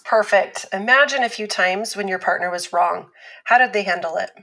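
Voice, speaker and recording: Woman survivor, mid-pitched, calm, patient, measured explainer, audio drama dialogue. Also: gravelly. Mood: calm, instructive. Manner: measured, instructive. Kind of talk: scripted fiction podcast dialogue, explanatory.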